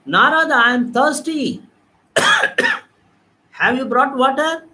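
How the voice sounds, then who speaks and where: A man's voice: very high voice, expressive, slow pace, sharp tone, pitched above the performer's own voice, full character voice. A man voicing the god Krishna, calling out and then scolding firmly. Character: Lord Krishna, divine male god, calm yet commanding.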